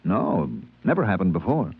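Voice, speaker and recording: A man's voice: low-pitched, big pitch swings, normal speed, slightly rough, phone-quality sound, exaggerated voice. Folksy spaceman, thin radio sound. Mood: cautious. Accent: American. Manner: folksy, modest, hesitant. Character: affable, plain-spoken, trusting male spaceship purser narrating. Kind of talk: 1950s American radio drama narration and dialogue. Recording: thin, band-limited old radio recording.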